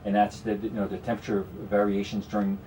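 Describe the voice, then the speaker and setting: Canadian man, low voice, distant mic. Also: monotone, quick pace, dark tone, slight background noise. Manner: explanatory, talks in long runs with frequent "you know". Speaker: guest investment strategist. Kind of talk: radio studio conversation. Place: radio studio.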